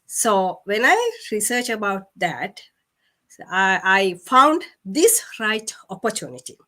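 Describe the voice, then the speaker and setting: A woman from Sri Lanka answering interview questions on a livestream. She explains earnestly at a middle pitch, with some fillers along the way. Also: big pitch swings, takes it slowly, sharp tone. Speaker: guest.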